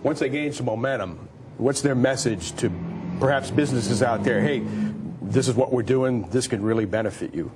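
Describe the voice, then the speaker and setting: A man's voice: medium pitch, normal speed. A man in his fifties hosting and moderating a studio panel discussion. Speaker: host. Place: TV studio, standing at desk. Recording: clear background noise.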